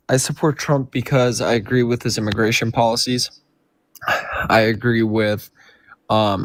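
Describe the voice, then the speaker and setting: An eighteen-year-old man calls into a live stream, laying out his points haltingly with frequent ums. His voice is on the low side, and the sound is dry and close. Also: no echo. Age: teens. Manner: hesitant, lists points with many ums. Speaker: caller.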